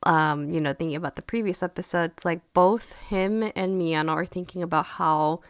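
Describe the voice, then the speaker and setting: A young woman with a slightly hoarse voice from being sick, chatting casually and gushing as she reviews anime episodes. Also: lowish voice, normal speed, dry recording, studio quality. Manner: chatty and gushing, lots of "you know". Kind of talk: casual chat.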